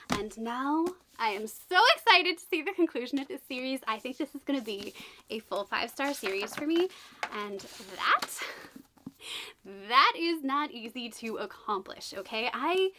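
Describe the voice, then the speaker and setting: Bright-voiced woman, slightly raspy from illness. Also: high-pitched. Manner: bubbly and gushing, with hushed whispered asides. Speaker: book channel host. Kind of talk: casual unboxing vlog.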